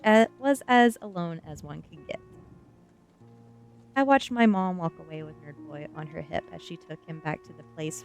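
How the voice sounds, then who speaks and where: A woman reading a first-person story aloud in an ASMR style, narrating with expressive, dramatic emphasis. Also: low voice. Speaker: streamer reading a novel aloud.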